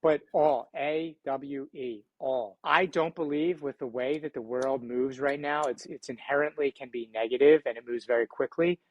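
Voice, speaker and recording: A man's voice: medium pitch, fairly even intonation, moderate pace, hardly any pauses, dry recording, highs cut off. A man with a Philadelphia accent speaking as a podcast guest in an earnest, reflective and motivational conversational way. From Philadelphia, United States. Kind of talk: conversational interview.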